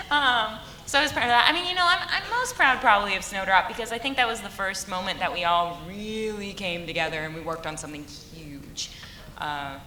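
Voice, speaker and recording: Woman, writer, casual panel talk, bright, echoey convention hall. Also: medium pitch. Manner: chatty, rambling, laughs often. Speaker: writer on an animation team panel. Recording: echoey hall, faint room noise.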